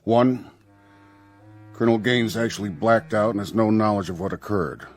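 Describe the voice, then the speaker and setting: Deep-voiced, brusque general, played by an actor. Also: male voice, moderate pace, natural voice. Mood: stern, commanding. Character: brusque, skeptical, commanding male general. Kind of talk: radio drama acting. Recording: music bed underneath.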